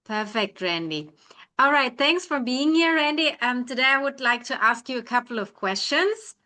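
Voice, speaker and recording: Bright, higher-pitched female interviewer. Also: expressive, moderate pace. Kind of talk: interview questioning.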